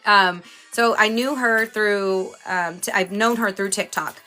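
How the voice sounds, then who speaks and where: A woman's voice: mid-range voice, fairly even intonation, unhurried, sharp tone, soft background music, rough recording. A woman from Houston, chatting casually as a livestream host, greeting viewers by name and sounding a little stuffy from a cold. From Houston, Texas.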